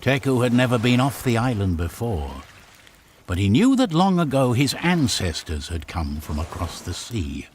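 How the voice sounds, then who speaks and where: A man narrating a video game story in a measured, dramatic storyteller's manner, with music behind him. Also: mid-range voice, sing-song, slight background noise. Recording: music under the voice.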